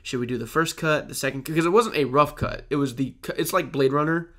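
A young man co-hosting a laid-back podcast, his voice sitting a little high for a man. He tells stories with animation and breaks into quick laughs as he goes. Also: sharp tone. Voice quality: high-pitched voice. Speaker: podcast co-host. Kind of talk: casual podcast chat.

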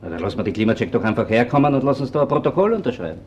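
An actor playing a blunt, sceptical police detective in a crime radio play, dry and matter-of-fact, with an Austrian accent. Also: male voice, medium pitch, sing-song, racing, a little mellow, lightly acted.

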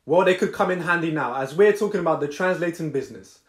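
A man's voice: a little high, fast. A young man from Brazil hosting a show, presenting a script to camera in an upbeat, punchy way from a small indoor room. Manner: upbeat, punchy list delivery. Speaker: host. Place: small indoor room against plain wall. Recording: echoey room, light music behind.

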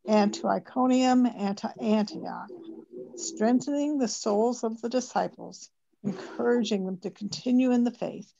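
A woman's voice: mid-range voice, vocal fry, warm tone, muffled recording. Scratchy-voiced woman reading scripture. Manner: reads scripture aloud carefully. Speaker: class member reading scripture. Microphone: video call webcam.

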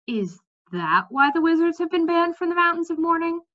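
A woman's voice: very high-pitched, very animated, moderate pace, a bit bright, dull audio, voice pushed up, full character voice. Earnest, puzzled young storybook king read by a woman. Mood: surprised. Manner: questioning, earnest.

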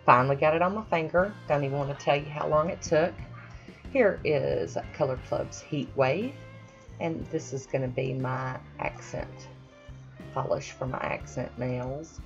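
A woman's voice: low voice, measured pace, vocal fry, muffled recording, faint music behind. A woman chatting casually over her own nail painting, self-deprecating with friendly asides. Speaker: nail art vlogger. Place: home manicure station, voice-over.

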